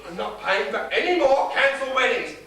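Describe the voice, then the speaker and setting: A man plays a blustering, exasperated father of the bride, ranting in a high, lively voice that swings up and down. He sounds soaked and battered, barking sarcasm on stage, picked up from far off in a very echoey space with clear noise behind. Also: fairly quick, full character voice. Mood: furious ranting. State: drenched and injured. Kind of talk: stage comedy acting.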